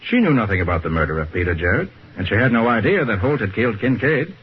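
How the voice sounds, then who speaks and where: A man's voice: fairly low pitch, big pitch swings, fast. An actor playing a shrewd, confident private detective in a 1940s radio drama, assured as he lays out the facts. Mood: explaining. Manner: assured, explanatory. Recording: muffled, noisy old radio recording.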